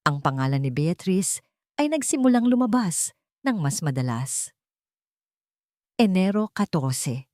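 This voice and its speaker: A woman narrating a scripted audio drama in short, deliberate phrases with dramatic pauses. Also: fairly low pitch, very animated, darkish tone, no room sound, clean studio sound.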